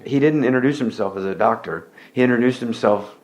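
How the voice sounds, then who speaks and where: Man, from Tennessee, podcast host, animated, laughs a lot, mid pitch. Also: fairly quick, low-quality recording. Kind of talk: casual chat.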